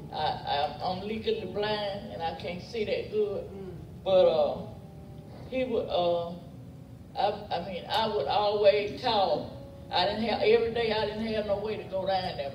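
A man's voice: high voice, steady tone, a little slow, lo-fi sound, background noise. An older man giving an informal, rambling family remembrance at a funeral. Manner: rambling, plain-spoken, repeats points. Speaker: mourner giving a family tribute. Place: large church sanctuary, indoors. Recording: echoey church from far off, music behind.

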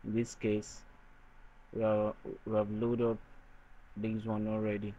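Low-voiced man giving a tutorial. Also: monotone, unhurried, mellow. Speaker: tutorial presenter. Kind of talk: software tutorial narration. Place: noisy room. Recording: dull lo-fi microphone sound.

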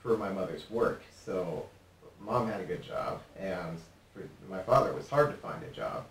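A young man, a guest on a TV interview, answering in a relaxed, halting way with many fillers. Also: deep voice. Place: TV studio set. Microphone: desk mic from a distance. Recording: roomy studio sound, mic at a distance, faint hiss.

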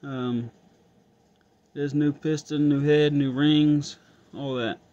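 A man's voice: a little high, fairly even intonation, slow, dark tone, highs cut off. A man thinking aloud as he tests an engine at his garage workbench, casual and a bit frustrated. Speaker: home mechanic vlogger. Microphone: phone camera held close.

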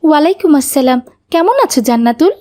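A dutiful elder daughter from a moral cartoon. Her voice sits high and has a mellow tone. She speaks earnestly, guiding and instructing her family with care. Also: expressive, fast talker, a little hiss or hum. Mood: earnest, caring. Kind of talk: cartoon voice acting.

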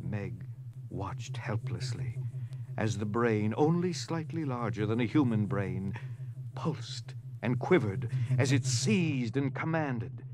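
A man's voice: fairly low pitch, animated, slow pace, crowd noise, rough recording. A man narrating an audio drama in a dramatic, scripted storytelling style. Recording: noticeable background noise.

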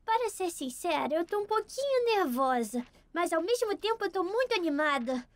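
A woman with a Brazilian accent voicing an excitable young girl letter in a children's cartoon, small and sweet. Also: extremely high pitch, normal speed, dry recording. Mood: nervous, excited. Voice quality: small, girlish. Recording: music behind the voice.

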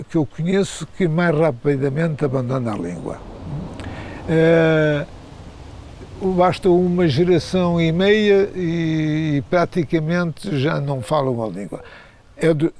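A man's voice: on the high side, gravelly, a little mellow. An older man, answering interview questions hesitantly, with frequent restarts and repeated words.

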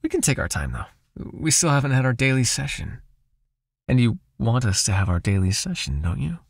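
A man playing a possessive, seductive vampire master in an ASMR hypnosis roleplay, murmuring breathily and slowly in an intimate, coaxing, softly commanding way. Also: mid-range voice, sing-song, no echo, clean studio sound. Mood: possessive, hypnotic.

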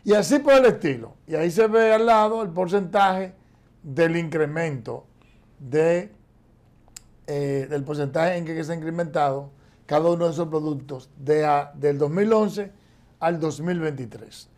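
A man's voice: fairly high pitch, measured pace, a little mellow. A man, giving emphatic economic commentary in a TV studio. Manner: emphatic, gestures constantly while explaining. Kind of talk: political commentary on a TV news show. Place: TV studio, seated in chair.

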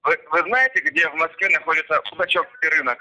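A man's voice: a little high, fast, pushed and bright. A man on a phone call, speaking formally and insistently as he presses for an answer. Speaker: phone scammer posing as a company worker. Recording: tinny phone line.

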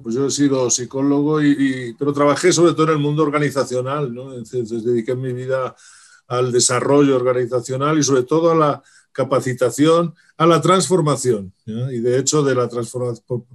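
A middle-aged man from Spain with a Madrid accent, a psychologist and coach speaking as a guest over a video call. He sounds upbeat, often restarts words and tags his phrases with "¿no?". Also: mid-range voice. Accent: Madrid Spanish. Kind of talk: casual interview answers. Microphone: video call webcam.

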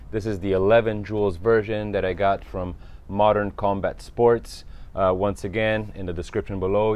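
A man's voice: on the low side, a little mellow. A man, hosting a casual airgun review outdoors with theatrical announcing. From Italy. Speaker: airgun channel host. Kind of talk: casual product review talk. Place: outdoor backyard shooting range.

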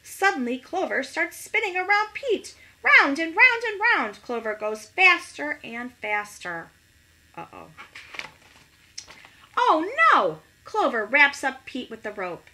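A middle-aged woman reading a picture book expressively to young children, pausing to ask them questions. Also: high voice, very animated, bright, forceful tone. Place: small living room at home, indoors.

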